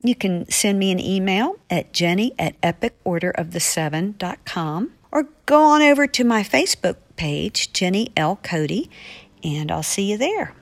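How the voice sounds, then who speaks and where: A woman's voice: medium pitch, animated, moderate pace. A woman from the United States, an author reading and answering listener mail on a podcast in an earnest, effusive way. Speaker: author with a regular podcast segment.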